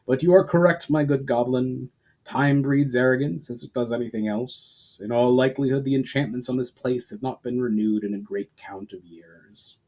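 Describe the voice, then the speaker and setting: A man's voice: mid-range voice, steady tone, normal speed, a little mellow, natural voice, rough recording. A man voicing a learned, courteous fantasy commander in a storybook reading, with a formal and stately manner.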